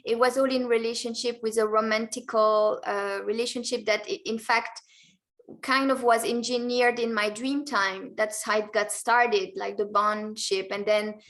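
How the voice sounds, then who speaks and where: Woman from Belgium, interview guest, slightly high voice, halting, careful delivery. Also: little pitch movement, fairly bright tone. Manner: hesitant, many restarts and pauses. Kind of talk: interview answers.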